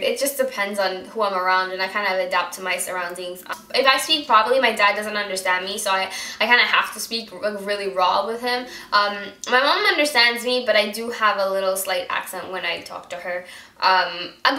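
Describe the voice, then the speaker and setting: Bright young woman chatting in an echoey room. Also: medium pitch. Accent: mostly American, with traces of Guyanese. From Guyana. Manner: rambling and chatty, with many fillers and restarts. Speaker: beauty vlogger. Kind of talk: casual vlog chat.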